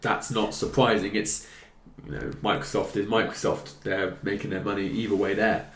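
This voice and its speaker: A man's voice: fairly low pitch, steady tone, moderate pace, slight background noise. Hungover young man hosting, echoey room. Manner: chatty, jokey, teasing. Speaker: host. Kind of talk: casual gaming news chat. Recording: roomy echo.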